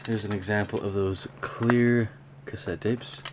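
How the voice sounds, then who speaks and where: A man talking casually and unscripted in a hobby show-and-tell vlog, with a rambling, halting delivery. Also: on the low side, fairly even intonation. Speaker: hobby vlogger, cassette collector. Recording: clear background noise.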